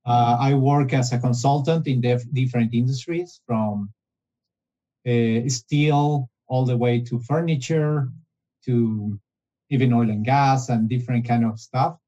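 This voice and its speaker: A man's voice: medium pitch, measured pace, warm tone, dull audio. A man, talking as a guest in an interview, often restarting his phrases. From Argentina. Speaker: guest, business development director. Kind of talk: casual interview conversation.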